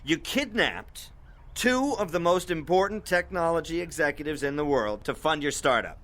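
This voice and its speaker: High-voiced, animated sardonic radio host. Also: male voice, moderate pace. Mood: sardonic, probing. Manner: sarcastic, teasing, theatrical. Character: cocky, sardonic male internet radio host, showman. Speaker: host of an internet radio show. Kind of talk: radio show patter in an audio drama.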